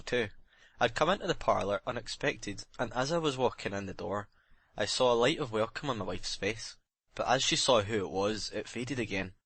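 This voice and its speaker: Man acting a husky, bitter, broken sailor. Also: medium pitch, a bit bright, no echo. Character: bitter, broken sailor confessing, jealous and remorseful. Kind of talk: dramatic audiobook reading.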